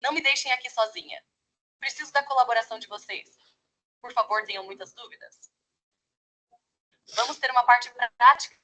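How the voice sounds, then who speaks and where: Bright crisp ai lady avatar voice, reads its lines smooth and even. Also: a little high, a little fast, long pauses, a little hiss or hum, poor audio. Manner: smooth, evenly read delivery. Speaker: virtual assistant avatar. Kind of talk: scripted synthetic greeting.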